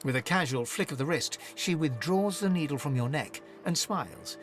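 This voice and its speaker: A man's voice: on the high side, expressive, normal speed, soft background music, close to an everyday voice. A man delivering scripted, dramatic storybook narration for a fantasy video game. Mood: measured storytelling.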